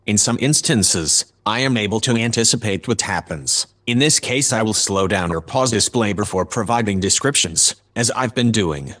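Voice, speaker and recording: Obliging male machine narrator acted by a man, lowish voice, formal and measured, for audio drama. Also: expressive, a bit bright. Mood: composed. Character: composed, obliging artificial intelligence narrating a hologram.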